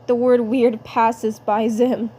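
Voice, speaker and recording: A woman narrating fan fiction aloud as an amateur, haltingly, often repeating words and phrases. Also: a little high, flat delivery, mellow.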